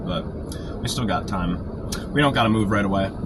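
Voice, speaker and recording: A man with a sore throat from a cold, keeping his voice quiet and low while chatting casually on a live stream from inside a parked car. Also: moderate pace. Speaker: storm chaser live-streaming. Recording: noisy car interior. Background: car and outdoor traffic noise.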